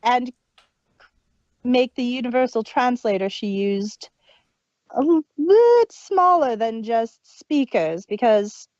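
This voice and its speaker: A woman's voice: on the high side, a little mellow, no room sound. A woman co-hosting a casual review podcast. She talks in a chatty way, with hesitations and frequent restarts. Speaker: podcast co-host. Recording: dull lo-fi dry home mic.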